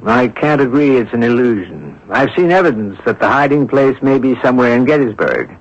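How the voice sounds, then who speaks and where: Urbane, husky-voiced radio sleuth. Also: male voice, medium pitch, expressive, a little fast. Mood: confident, intent. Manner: polished, probing, lightly teasing. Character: urbane, confident male amateur sleuth, gently needling. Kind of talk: 1970s radio drama acting. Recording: dull lo-fi old radio recording.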